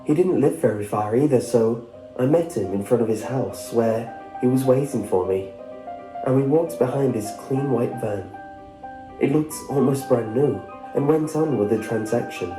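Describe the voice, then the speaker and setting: A man's voice: mid-range voice, reverberant, poor audio. A man reading a scripted first-person story as a narrator. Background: background music.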